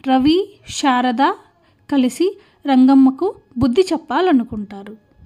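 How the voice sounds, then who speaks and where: High-pitched woman narrating a cartoon story. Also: dark tone.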